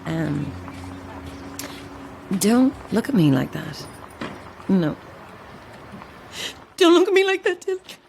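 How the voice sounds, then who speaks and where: A woman's voice: medium pitch, huge pitch swings, takes it slowly, vocal fry, pauses often, a little mellow, a little hiss or hum, heavily acted. A woman playing a flustered, self-dramatizing struggling actress who rambles theatrically to herself in an audio drama. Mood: playful, theatrical. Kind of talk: modern audio drama acting.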